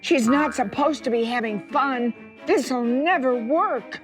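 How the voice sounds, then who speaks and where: A woman's voice: high voice, takes it slowly, over music, voice pushed up. A woman voicing an earnest older child who coaxes and pleads in a storybook. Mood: apologetic, worried. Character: earnest older child coaxing a little sister.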